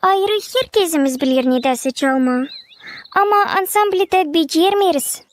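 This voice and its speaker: A woman's voice: very high voice, lively intonation, measured pace. A woman voicing an eager young animal child in a cartoon, chummy and enthusiastic. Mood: keen. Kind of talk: cartoon character acting.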